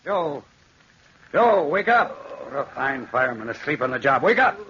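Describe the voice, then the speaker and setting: A man's voice: mid-range voice, sing-song, moderate pace, fairly bright tone, a little hiss or hum. An actor playing a stern, grave, accusing man in 1940s radio drama, on a dull old recording. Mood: urgent, annoyed. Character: Barton, stern, appalled brother and kiln owner.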